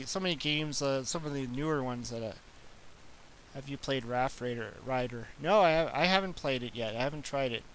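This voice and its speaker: A man's voice: medium pitch, fairly bright tone, a little hiss or hum, poor audio. A Canadian man with a Canadian accent, hosting a retro gaming stream in casual, rambling chat. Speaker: retro gaming channel host.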